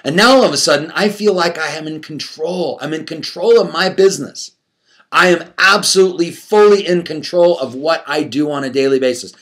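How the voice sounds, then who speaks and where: A man's voice: fairly high pitch, fairly quick, fairly bright tone. A man giving a conversational motivational coaching talk to an audience, repeating phrases emphatically, asking rhetorical questions and acting out his inner self-talk.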